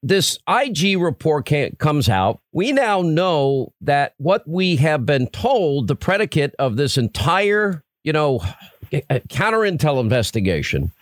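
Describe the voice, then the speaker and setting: Chatty talk radio host, dry sound. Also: male voice, fairly high pitch, sing-song, a little slow, no echo. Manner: rambling, chatty, frequent restarts. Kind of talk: conversational radio interview. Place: radio studio.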